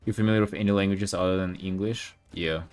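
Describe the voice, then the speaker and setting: A man who is a native Russian speaker, chatting casually as an art streamer in a halting, rambling, slangy way with some swearing. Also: low-pitched, steady tone, darkish tone, clear, resonant tone, faint music behind. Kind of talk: casual livestream chat while painting.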